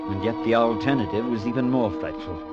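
An actor playing a sensible, concerned male priest in an old-time radio drama, measured, skeptical and earnest in both dialogue and narration. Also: mid-range voice, close to an everyday voice. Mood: grave, troubled. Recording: dull vintage radio recording, music behind.

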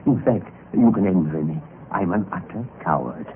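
Low-voiced, swooping decadent gentleman, old radio. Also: animated, moderate pace, narrow, tinny audio. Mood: confiding, relishing. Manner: urbane, precious, relishing turns of phrase. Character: jaded, infirm, cowardly gentleman who savours fear. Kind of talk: old-time radio drama acting. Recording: band-limited vintage radio recording with hiss.

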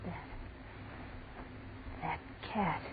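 An actress playing a frightened, insistent young college woman in a 1930s radio horror drama. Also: drawn-out words. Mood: frightened, hushed. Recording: scratchy, tinny 1930s radio recording.

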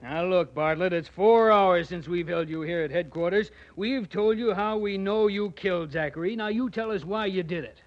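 An actor playing a blunt, hard-nosed American police sergeant in a 1940s radio detective drama, brusque and slangy. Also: male voice, high voice, normal speed, few pauses, close to an everyday voice. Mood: pressing, accusing. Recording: dull 1940s radio recording.

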